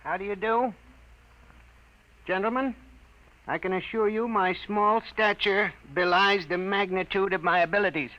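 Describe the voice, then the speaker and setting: Man playing a pompous, high-voiced little professor. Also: steady tone, normal speed, long pauses, close to an everyday voice. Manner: pompous, formal. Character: small, dignified, self-important male professor. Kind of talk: 1950s radio drama acting. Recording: dull vintage 1950s radio recording.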